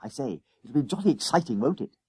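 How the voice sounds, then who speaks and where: A man voicing an eager, bossy schoolboy in a storybook, excitable and insistent, full of schoolboy slang. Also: mid-range voice, quick pace, husky, no echo, voice pushed up. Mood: excited scheming. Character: eager, bold, bossy schoolboy hatching schemes.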